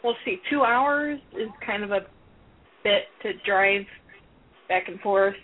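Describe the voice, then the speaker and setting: A woman's voice: mid-range voice, slow pace. A woman from Illinois co-hosting a podcast, chatting in an emphatic, talkative way. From Illinois, USA. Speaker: podcast co-host. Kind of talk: casual chat. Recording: tinny phone-like call audio.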